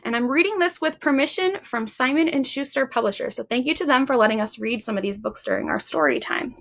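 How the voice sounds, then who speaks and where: High-pitched woman leading children's story time. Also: quick pace. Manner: encouraging, animated, addressing young children directly; simple repeated phrases and questions to the listener. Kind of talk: children's story time with chants, songs and simple explanations.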